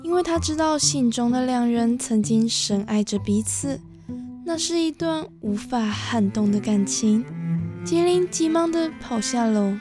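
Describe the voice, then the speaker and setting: A woman's voice: a little high, lively intonation, a little slow. A woman giving scripted narration in a radio drama. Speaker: story narrator. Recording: prominent music behind the voice.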